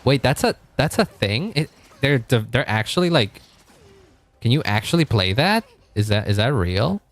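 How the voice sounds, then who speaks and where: A man from Argentina streaming casually, rambling, correcting himself and chuckling as he reads chat, with a deep voice. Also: big pitch swings, moderate pace.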